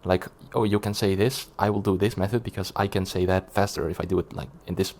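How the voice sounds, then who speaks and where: A man speaks English quickly in a fairly low voice. He explains his view step by step from a script, with an earnest, reasoning tone. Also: fairly even intonation, a little mellow. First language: Dutch. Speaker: language-learning YouTuber.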